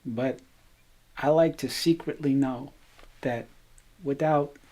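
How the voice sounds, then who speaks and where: Low-voiced shy stagehand. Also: female voice, mellow, exaggerated voice. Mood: shy, content. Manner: halting, modest, understated. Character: shy, modest, kindly female chief stagehand after work. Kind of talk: naturalistic radio drama dialogue.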